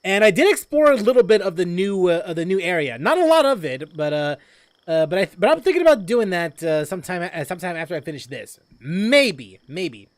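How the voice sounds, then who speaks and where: A man's voice: high voice, very animated, fairly quick, dry recording. Rambling young male game streamer. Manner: rambling, repeats himself, laughs often. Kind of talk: casual gameplay commentary. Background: game music.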